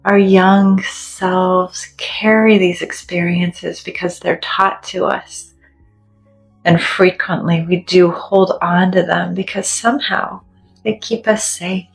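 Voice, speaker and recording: A woman leading a guided meditation in a gentle, soothing, deliberate way, leaving long pauses between phrases. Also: on the low side, fairly even intonation, vocal fry, poor audio. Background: soft background music.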